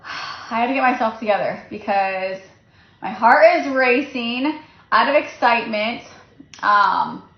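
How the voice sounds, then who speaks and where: A woman's voice: a little high, measured pace. A woman speaking on a livestream, nervous with a shaking voice, gushing and earnest. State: nervous, shaky voice. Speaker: social media seller on a livestream. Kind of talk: emotional livestream announcement. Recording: roomy, dull phone livestream audio.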